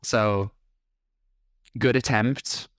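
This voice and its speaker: A man's voice: on the low side, fairly even intonation, extremely slow, fairly bright tone, no room sound, studio quality. A man with an Irish accent, hosting a reaction video with blunt, sarcastic commentary. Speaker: host.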